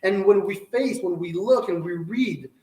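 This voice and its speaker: A man's voice: sits high, unhurried, darkish tone. Earnest man teaching in an echoey hall. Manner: earnest, repeats and restarts phrases. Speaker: Torah teacher. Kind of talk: Torah lecture to a live audience. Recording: echoey room, mic at a distance.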